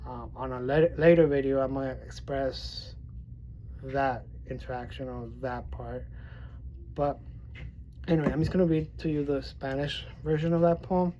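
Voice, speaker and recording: A man from Miami with a mild speech impediment, talking casually to his viewers. He rambles, restarts his sentences and leans on fillers. His voice is soft and mellow, with a faint hiss behind it. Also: mid-range voice, fairly even intonation, normal speed, dull audio. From Miami, Florida. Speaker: poetry vlogger. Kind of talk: casual vlog talk and poetry reading.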